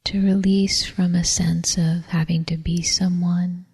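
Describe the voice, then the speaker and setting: Very slow, breathy woman guiding meditation. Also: lowish voice. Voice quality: soft, breathy, whispery. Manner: calm, soothing, unhurried with long pauses. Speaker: meditation teacher. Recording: long reverberant hall echo.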